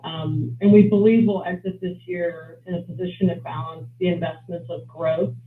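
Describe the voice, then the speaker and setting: Finance exec on an earnings call, measured and businesslike, echoey phone sound, bit of hiss. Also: mid-range voice, steady tone. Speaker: company finance executive. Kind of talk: prepared remarks and answers on an earnings call. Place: conference call. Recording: roomy, noisy phone-line conference call audio.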